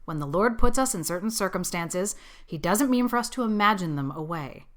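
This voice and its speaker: A woman voicing a stern, practical older spinster in an audiobook, speaking in a brisk, grim, disapproving and matter-of-fact way. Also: lowish voice, expressive, natural voice. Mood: stern, commanding. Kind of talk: audiobook character acting.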